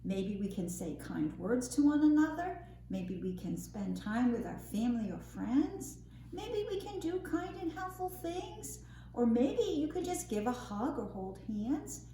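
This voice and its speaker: Slow, animated woman storyteller for children. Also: a little high. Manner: expressive, singsong rhyming delivery, addressing children with questions. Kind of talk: read-aloud picture book storytime for young children. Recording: roomy echo, faint background noise.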